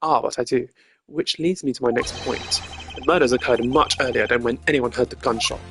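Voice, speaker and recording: Self-assured male game prosecutor, as a streamer plays him: formal, deliberate, medium pitch, over music. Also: a bit bright, close to an everyday voice. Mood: smug, deductive.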